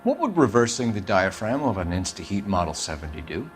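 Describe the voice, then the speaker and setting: A man's voice: low-pitched, sing-song, a little fast, soft background music. A man playing a stern police detective in a video game, questioning suspects in a blunt, accusatory manner. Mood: probing. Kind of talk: dramatic video game interrogation acting.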